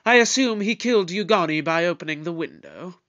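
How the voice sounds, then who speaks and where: An actor playing a brisk, eccentric investigator in an audio drama, speaking in a measured, deliberate way. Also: medium pitch, expressive, fairly bright tone, muffled recording, lightly acted. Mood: grave, urgent.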